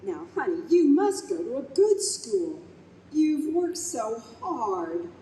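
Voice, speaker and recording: A woman plays a fifty-something working mother in an old-time radio drama, affectionate and easily exasperated. Her voice is very mellow and swoops with animation. The room echoes around her. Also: high-pitched, very animated, slow pace, exaggerated voice. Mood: tender. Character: warm, exasperated fifty-two-year-old smoker mother and office manager. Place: convention room stage performance. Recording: roomy live hall, faint background noise.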